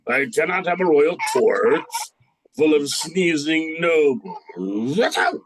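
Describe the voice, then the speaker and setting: A man's voice: on the high side, animated, slow, background noise. A man playing a fussy, pompous king in a comic radio play, hesitant and blustering. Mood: flustered.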